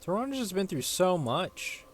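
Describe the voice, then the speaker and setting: A man's voice: high voice, very animated, measured pace. A man giving casual, rambling, sweary gameplay commentary, sometimes reading game text aloud. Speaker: gaming streamer playing through a story quest. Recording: loud game music behind. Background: game music.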